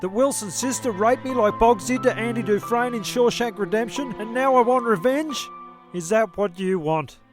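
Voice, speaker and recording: A man's voice: extremely high pitch, normal speed, over music. A man playing a melodramatic, self-pitying castaway in a comedy audio drama, histrionic and indignant. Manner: histrionic, indignant, over-sharing.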